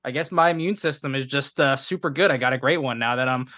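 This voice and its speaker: A man's voice: medium pitch, fast, sharp tone, no echo, studio quality. A man co-hosting a casual podcast, a bit sniffly from a cold, rambling and laughing at himself as he reviews a movie. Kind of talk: casual chat.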